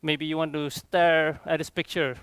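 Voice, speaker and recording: A man's voice: a little high, level tone, normal speed, a bit bright, clear, resonant tone, no echo. A young man with a Malaysian accent giving an informal, chatty technical talk as a conference speaker, often checking in with his listeners. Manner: chatty, frequent rhetorical check-ins like "okay" and "right". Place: conference room, on stage before a seated audience.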